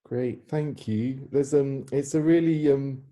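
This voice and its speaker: A woman's voice: rumbling low, steady tone, slow pace, warm tone. A woman hosting an informal Q&A, asking questions politely. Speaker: host of a playwright Q&A.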